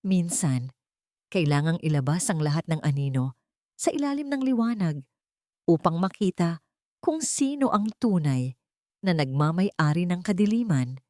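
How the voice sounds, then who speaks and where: A woman's voice: fairly low pitch, lively intonation, moderate pace, pauses often, darkish tone, no room sound, natural voice. A cold, enigmatic wealthy man from a romance melodrama, voiced by a woman narrator, speaks in a brooding, cryptic way. The sound is dry, with no roominess.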